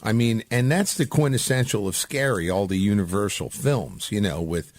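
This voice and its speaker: A man's voice: lowish voice, normal speed. A man hosting a casual radio interview, chatting in an informal, rambling way. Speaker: radio show host.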